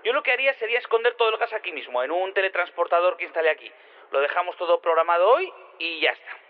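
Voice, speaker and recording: A man with an accent from Spain playing an excitable, cocksure inventor in an audio drama, emphatic and repeating himself as he tumbles over his words. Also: high-pitched, quick pace. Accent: Spanish (Spain). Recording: tinny band-limited radio-like sound.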